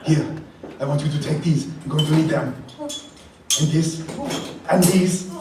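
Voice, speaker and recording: A man's voice: fairly high pitch, a little slow, close to an everyday voice. Man acting a terse action hero in echoing hall. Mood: terse, determined. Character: determined gruff action hero, a father. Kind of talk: comic live radio play acting. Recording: very echoey hall, far mic, noisy.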